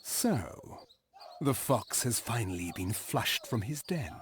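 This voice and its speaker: An actor playing a cold, contemptuous British commander, sneering and menacing, in a game cutscene. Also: male voice, medium pitch, sing-song, takes it slowly, a little hiss or hum. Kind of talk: dramatic video game cutscene acting. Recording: music behind the voice.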